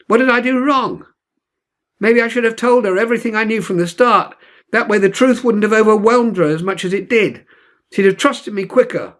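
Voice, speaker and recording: A man's voice: high voice, moderate pace, fairly bright tone, voice pushed up. A man voicing a heartbroken, raging teenage boy with magic, bitter and pleading, in a storybook reading. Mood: bitter regret. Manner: bitter, pleading, self-questioning.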